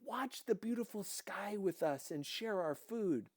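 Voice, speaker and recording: A loud, bossy older woman from a storybook, acted out by a man, pitched well up high. She gushes with motherly hospitality and orders everyone about effusively. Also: lively intonation, a little slow, dry recording, studio quality. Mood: gushing, welcoming. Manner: effusive and commanding.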